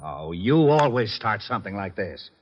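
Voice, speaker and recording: An actor playing a gruff, exasperated police lieutenant in a 1940s radio detective drama, blustering and sarcastic. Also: male voice, lowish voice, big pitch swings, moderate pace, slight background noise. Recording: muffled old radio recording.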